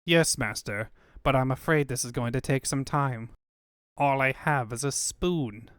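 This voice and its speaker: Man playing a servile villain's henchman, slow delivery. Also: mid-range voice, a bit bright, dry recording, studio quality, close to an everyday voice.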